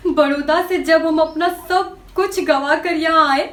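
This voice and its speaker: A woman acting an anguished young woman confessing a secret in a dramatic stage monologue, halting and sorrowful with long pauses. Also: high voice, steady tone, fairly bright tone. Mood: anguished, tearful. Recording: echoey room, distant microphone.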